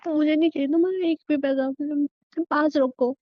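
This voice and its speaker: A woman's voice: high voice, fairly even intonation, normal speed, close to an everyday voice. A woman playing a resentful, wounded wife in an audio drama, reproachful and stubborn with a plaintive delivery. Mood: hurt, rejecting. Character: wounded, resentful young wife, defiantly rebuffing her husband. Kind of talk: Hindi audio drama dialogue, emotional acting. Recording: muffled phone-like sound with room echo.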